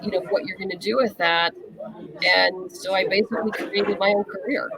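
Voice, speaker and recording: Woman with a creaky, gravelly edge, chatting candidly. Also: medium pitch, fairly even intonation, moderate pace, a crowd in the background, low-quality recording. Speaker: interview guest, comic writer and novelist. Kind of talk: casual conversational interview.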